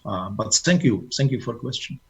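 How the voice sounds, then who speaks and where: A man speaks in a slightly husky, mid-range voice. His delivery is halting and polite, like a guest speaker fielding questions at an online club meeting. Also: medium pitch, expressive. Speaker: guest presenter at an astronomy club. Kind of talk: informal question-and-answer after a presentation.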